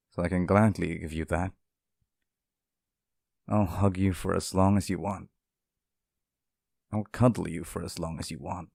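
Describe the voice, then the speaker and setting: Demon lover for ASMR roleplay, played by a man: very low, gravelly, unhurried, tender and possessive. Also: darkish tone, no echo, clean studio sound, exaggerated voice. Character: devoted, possessive male demon sealed in a cage, sweet but menacing.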